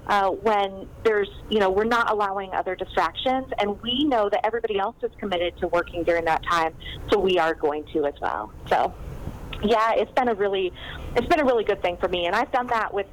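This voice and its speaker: A woman author talks through her writing life in a loose, chatty way. She meanders and circles back, leaning on fillers as she goes. Her voice sits at an ordinary middle pitch with a faint hiss underneath. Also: brisk, rough recording. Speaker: novelist guest. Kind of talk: casual interview chat.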